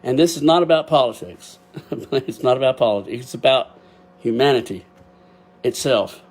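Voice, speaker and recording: A man's voice: medium pitch, animated, normal speed. An older man with a Texas accent hosting his own podcast, talking casually and emotionally with frequent laughs, recorded on a built-in webcam microphone. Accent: Texan. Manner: emotional, rambling, laughs often; loud at times, deaf and can't hear himself. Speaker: podcast host. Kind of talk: casual solo podcast talk.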